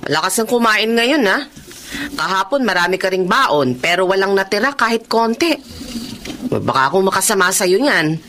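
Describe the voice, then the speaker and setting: An actress playing a caring middle-aged wife and mother who nags gently in a radio drama. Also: medium pitch, big pitch swings, normal speed, slight background noise. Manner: gently nagging.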